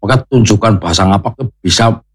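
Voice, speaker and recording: A man's voice: fairly low pitch, racing, husky. A man with a Banyumasan Javanese accent, a content creator guest who is laid-back and joking. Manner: laid-back, joking, slips into local dialect. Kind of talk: casual studio chat. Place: radio studio.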